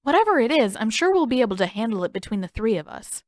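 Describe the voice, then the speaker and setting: A woman's voice: on the high side, big pitch swings, fast, darkish tone, dry recording, studio quality. A woman voicing an eager, agreeable young boy in an audiobook, earnest and conciliatory.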